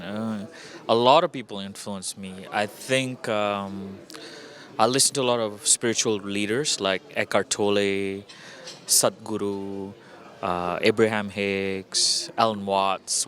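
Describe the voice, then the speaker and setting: Low-voiced man, upbeat interview guest. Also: fairly even intonation, measured pace, fairly bright tone. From Indonesia. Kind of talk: casual interview answers.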